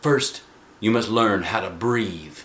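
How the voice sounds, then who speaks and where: Male reader as macho self-styled guru instructor, children's story; solemn, slow, pompous, roomy sound. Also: lowish voice, fairly bright tone. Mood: calm, hushed. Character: muscular self-styled guru self-defense instructor, spouting solemn nonsense wisdom.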